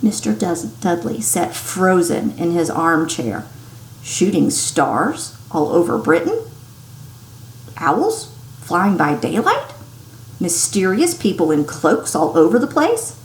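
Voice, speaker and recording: A woman teacher reading a story aloud to children in an expressive, animated way with dramatic emphasis. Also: on the low side, takes it slowly. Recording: echoey room with clear background noise.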